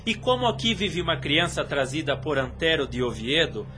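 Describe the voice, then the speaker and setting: Haughty young noble, bright forceful voice, solemn formal delivery, radio play, background music. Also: male voice, fairly high pitch, very animated, normal speed. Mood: solemn triumph. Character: arrogant, triumphant young nobleman delivering a cruel decree. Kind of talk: radio drama acting. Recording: music under the voice.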